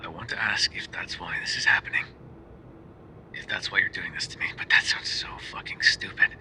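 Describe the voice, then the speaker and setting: A man's voice: low-pitched, brisk, pushed and bright, poor audio. A man playing a lovesick, jealous mafia boyfriend in an intimate ASMR roleplay monologue, conversational and sardonic, dropping into whispers. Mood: hurt, pleading.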